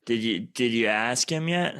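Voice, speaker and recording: A man playing a crude, sneering young slacker with halting, foul-mouthed banter in an amateur radio play. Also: medium pitch, lively intonation, a bit bright, clean tone, natural voice. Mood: casual. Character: crude, sneering stoner slacker guy, bickering with his buddy.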